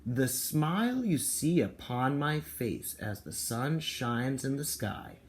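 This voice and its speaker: A man's voice: mid-range voice, lively intonation, slow pace. A man speaking as a kindergarten teacher, explaining and reading aloud to young children and parents.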